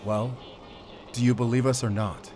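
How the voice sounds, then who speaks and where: A man's voice: medium pitch, steady tone, moderate pace, darkish tone, faint music behind, natural voice. An actor playing an earnest male captive who argues his case insistently in an audio drama. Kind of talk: cinematic audio drama acting. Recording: faint vehicle noise behind.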